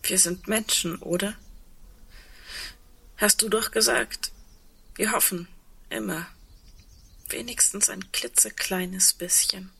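An actress playing a wry, resigned, reflective woman in a radio drama, with a husky voice and dry humor. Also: medium pitch, steady tone, slow pace, pauses often, pushed and bright, heavily acted. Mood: quiet, melancholy. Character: tall, wry, resigned woman insolvency administrator facing doomsday. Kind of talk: radio drama dialogue acting.

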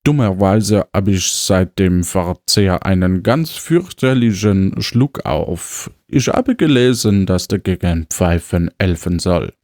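Male actor as affected gourmet cannibal, low pitch, dry close sound, savouring, hesitant, French phrases, audio-drama diary. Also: animated, slow, hardly any pauses, a little mellow, no room sound, exaggerated voice. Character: gourmet cannibal diarist, man, genteel, food-loving, affected.

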